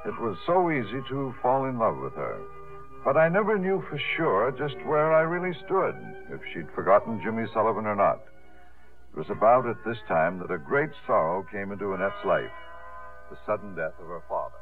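An actor playing a courteous, suave theatre impresario, polished and urbane, in a 1950s radio drama. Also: male voice, lowish voice, very animated, normal speed. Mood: reflective, narrating. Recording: thin, phone-like 1950s radio broadcast, music.

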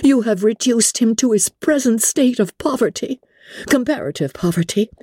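A woman voicing an indignant, spirited young gentlewoman from a novel, speaking in formal, cutting, emphatic phrases. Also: medium pitch, very animated, measured pace, no echo, clean studio sound, voice pushed up. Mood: angry, accusing.

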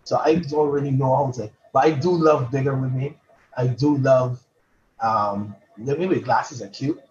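A man from Barbados, a poet guest in a casual interview, talking haltingly with many restarts and repeated words. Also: medium pitch, darkish tone, dull audio, slight background noise.

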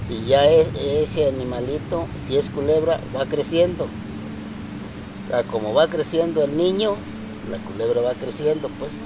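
A man being interviewed, talking in a halting way that repeats and searches for words. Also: medium pitch. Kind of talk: casual interview. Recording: distant mic with loud outdoor noise.

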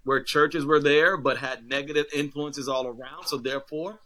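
A man's voice: fairly high pitch, steady tone, moderate pace, bright, forceful tone. A man, a pastor, talking earnestly in a casual discussion, with many fillers and restarts.

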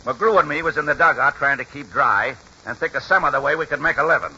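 An actor playing a folksy, exasperated old ball-club trainer in a 1940s radio drama, with slangy, ungrammatical talk. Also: male voice, fairly high pitch, quick pace, bright, forceful tone, slightly rough, exaggerated voice. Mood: rueful storytelling. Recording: muffled, hissy 1940s radio recording.

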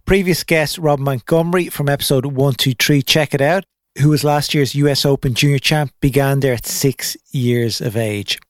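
A man's voice: mid-range voice, normal speed, no room sound. Upbeat male podcast host, dry sound. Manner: upbeat, promotional, chatty. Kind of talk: podcast intro and interview.

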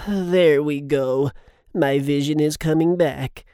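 A man playing an earnest, literal-minded radio sidekick in a formal, deadpan way for a children's audio drama. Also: on the high side, slow, slight background noise. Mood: resolute, dreamy.

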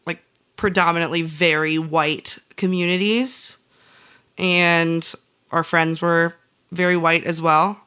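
A woman's voice: on the low side, level tone, takes it slowly, pushed and bright, clean tone. A woman with an American accent chatting casually as a podcast host. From central Illinois, United States. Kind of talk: casual chat.

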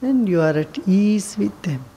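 A woman leading a guided meditation with soothing, unhurried instructions and long pauses. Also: mid-range voice, expressive, dark tone, husky.

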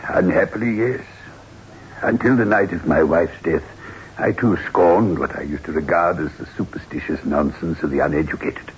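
An actor playing a grave, haunted widower in a radio drama, formal and measured, with a foreboding manner. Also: male voice, sits low, close to an everyday voice. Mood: grave warning. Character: grave, haunted widower, male landowner of modest means. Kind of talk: 1960s radio drama acting. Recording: muffled, hissy old radio recording.

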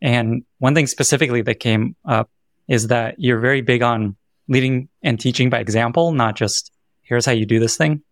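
A man's voice: medium pitch, normal speed, no room sound, studio quality. A man hosting an interview podcast, asking questions in a conversational way. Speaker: podcast host.

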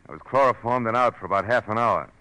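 A man's voice: lowish voice, fast, bright, forceful tone, low-quality recording. A man acting a tough, wry, world-weary private eye in 1940s radio style, with an American accent and a clipped, sardonic delivery. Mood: terse, urgent. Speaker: lead actor and narrator. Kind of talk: hard-boiled 1940s radio detective acting. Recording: old 1940s radio recording, music underneath.

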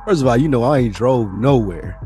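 A man's voice: medium pitch, animated, a little fast, loud music behind the voice. A man hosting a podcast, chatting casually in informal, slangy language with some swearing. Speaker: podcast host.

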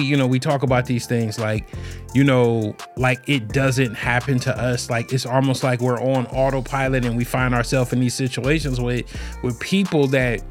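A man hosting a show alone and talking casually about relationships, rambling with lots of fillers and restarts. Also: medium pitch. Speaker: podcast host. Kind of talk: casual solo talk on relationships.

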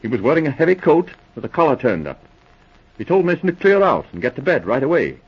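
An actor playing an eager, excitable police officer giving urgent reports in a 1940s radio drama. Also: male voice, fairly high pitch, fast, breathy edge, heavily acted. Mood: earnest reporting. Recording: muffled old radio recording.

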